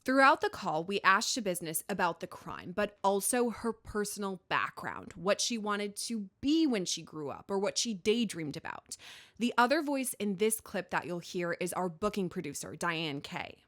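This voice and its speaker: A woman's voice: mid-range voice, lively intonation, moderate pace, a bit bright. A woman with an American accent narrating a scripted true-crime news report as the reporter.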